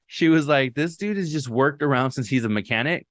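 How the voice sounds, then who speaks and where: A man's voice: medium pitch, a little fast, fairly bright tone. A young man co-hosting a podcast, chatting casually and telling stories with slang and a few Spanish words. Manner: laid-back, storytelling with slang and Spanish words. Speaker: podcast co-host. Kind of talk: casual chat.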